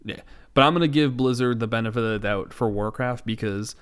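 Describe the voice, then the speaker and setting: A man's voice: medium pitch, a little fast, smooth. A man co-hosting a podcast in casual, rambling, opinionated discussion with a joking, sarcastic streak. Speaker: podcast co-host. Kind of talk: casual pop culture discussion.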